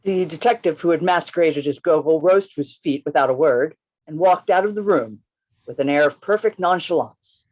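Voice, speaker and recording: An actor in a radio play reading, playing an undercover policeman who tells his own story in a deliberate, theatrical manner. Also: medium pitch, natural voice, poor audio. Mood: measured narration. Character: Gabriel Syme, tense undercover policeman telling his story. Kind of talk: dramatic first-person radio play narration. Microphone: video call.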